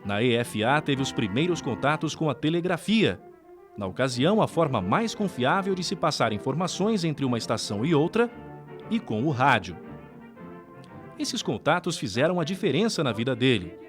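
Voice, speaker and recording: A man's voice: medium pitch, sing-song, normal speed, soft background music. A Brazilian man reading scripted documentary narration.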